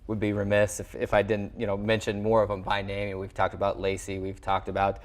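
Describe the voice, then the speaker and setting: A man with a fairly low voice hosts a sports talk show. He speaks in a conversational broadcaster's style, putting questions to a guest and steering the segment. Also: monotone, a little fast.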